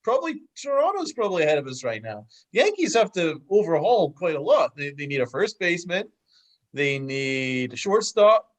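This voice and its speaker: A man's voice: fairly high pitch, very animated, moderate pace. A man co-hosting a casual sports podcast over a video call, giving blunt, emphatic opinions. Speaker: podcast co-host.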